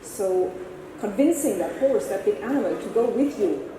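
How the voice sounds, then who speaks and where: A woman with a German accent gives a conference talk as a horse-assisted coach, earnest and measured. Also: mid-range voice, animated, background noise. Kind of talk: conference presentation. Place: large conference hall. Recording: echoey hall, distant mic.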